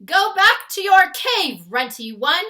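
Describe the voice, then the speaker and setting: Woman putting on a huge booming bully giant. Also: big pitch swings, slow pace, bright, forceful tone, full character voice. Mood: accusing, bullying. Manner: mangled folksy grammar, taunting. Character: huge menacing bullying male man-eating giant. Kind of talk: storybook read-aloud character voice.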